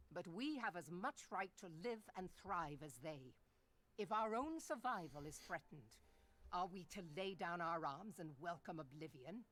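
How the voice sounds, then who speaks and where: Commanding, lowish-voiced admiral. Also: female voice, fairly bright tone. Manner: formal, declarative, stately. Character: resolute, commanding woman admiral, proud and dutiful. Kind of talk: video game voice acting.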